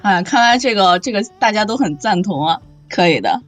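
A woman's voice: mid-range voice, brisk, faint music behind. A woman voice actor talking casually and expressively in a panel chat about audio drama. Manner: animated, expressive. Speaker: voice actor discussing her audio drama role.

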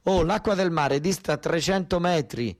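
A man's voice: on the high side, expressive, moderate pace. Southern Italian man, insistent theatrical storyteller. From Basilicata, southern Italy. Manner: colloquial, insistent, repeating phrases for emphasis. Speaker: actor-storyteller. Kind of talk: narrative theatre monologue.

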